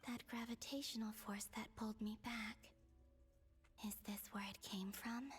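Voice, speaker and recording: An actress playing a gentle, weary young woman musing to herself in a hushed, breathy game voice. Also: a little high, lightly acted.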